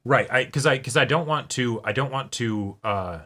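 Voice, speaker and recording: A man hosting a reaction show in casual discussion, halting and repeating words as he reasons aloud. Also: medium pitch, pushed and bright. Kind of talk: casual discussion of an anime episode.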